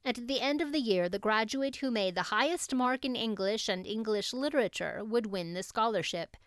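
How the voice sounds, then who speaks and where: A woman's voice: on the high side, normal speed, no room sound, studio quality. A woman audiobook narrator reading a classic novel expressively.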